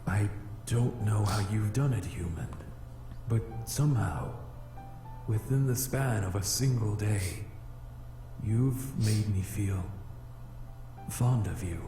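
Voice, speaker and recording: Slow, echoing, proud flame demon. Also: male voice, fairly low pitch, warm tone. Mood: hesitant, smitten. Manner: stiff, archaic, solemn diction. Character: proud, formal male flame demon, flustered by love. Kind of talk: visual novel voice acting. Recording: echoey, music behind.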